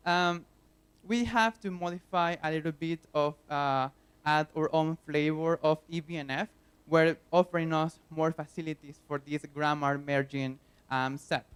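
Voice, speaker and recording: A man's voice: on the high side, clear, resonant tone. Venezuelan man giving a conference talk. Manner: earnest, plain explaining with frequent fillers. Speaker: conference speaker. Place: conference hall.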